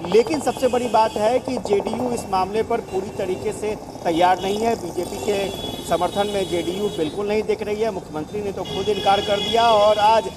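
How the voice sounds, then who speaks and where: A man's voice: high voice, steady tone, moderate pace. A man working as a news correspondent, giving an explanatory live field report. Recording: noisy outdoor city with traffic.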